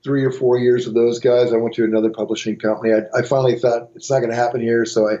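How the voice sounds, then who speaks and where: Mellow-voiced middle-aged man telling stories. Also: mid-range voice, flat delivery, fast, lo-fi sound. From Montana, USA. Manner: rambling, with many restarts and asides. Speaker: guitarist and songwriter, interview guest. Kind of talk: casual storytelling interview.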